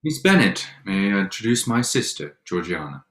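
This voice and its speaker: A man playing a reserved young gentleman in a period drama reading, stiffly courteous. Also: sits low, sing-song, moderate pace. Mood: awkward courtesy.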